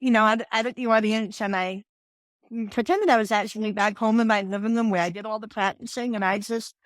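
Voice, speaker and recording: A woman's voice: medium pitch, steady tone, fairly quick, dry recording. A woman in her forties with a New York accent, a podcast guest and confidence coach telling her story earnestly, often restarting her sentences. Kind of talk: podcast interview.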